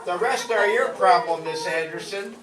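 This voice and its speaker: A man's voice: high-pitched, normal speed, a crowd in the background, natural voice. A man playing an officious, commanding police officer in an amateur radio play. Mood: stern, commanding. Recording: echoey, distant mic, music behind.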